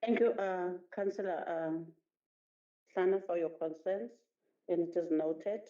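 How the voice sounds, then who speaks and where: Woman council chair, mellow dark tone, halting, echoey muffled chamber. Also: on the low side. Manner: hesitant, many ums and uhs. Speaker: council speaker chairing the meeting. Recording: roomy, dull council chamber audio.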